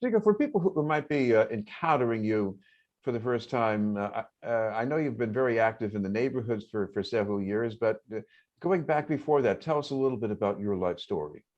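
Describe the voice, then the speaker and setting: Halting male news interviewer, lo-fi. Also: mid-range voice, expressive, a little mellow. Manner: halting, repeats words when asking. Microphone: video call. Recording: dull lo-fi sound.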